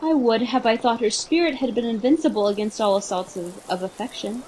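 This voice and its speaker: A woman playing a high-voiced, courtly, playful prince. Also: a little fast, a little mellow, a little hiss or hum, close to an everyday voice, low-quality recording. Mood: feigned concern. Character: courtly male prince, playful, scheming leader. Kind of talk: amateur Shakespearean radio theatre acting.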